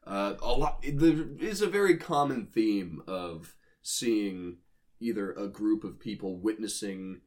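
A man's voice: fairly low pitch, animated, slow pace, darkish tone. A man co-hosting a casual comedic podcast, explaining things in a joking, conversational way. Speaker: podcast co-host.

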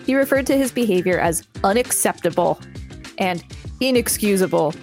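A woman's voice: medium pitch, expressive, normal speed, darkish tone. Female podcast co-host with music behind. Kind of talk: reading an article aloud with casual banter.

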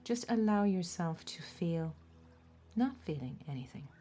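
A woman leading a guided body scan meditation, giving gentle, measured instructions with deliberate pauses. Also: fairly low pitch, lively intonation. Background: music.

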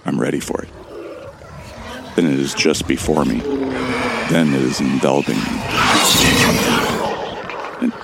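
Male actor as a grim lead narrator, very deep and gravelly. Audio drama. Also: fairly even intonation, unhurried, pauses often, very noisy, exaggerated voice. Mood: resolute. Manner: grim, resigned first-person telling. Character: determined young man running toward doom. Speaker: first-person narrator and lead character.

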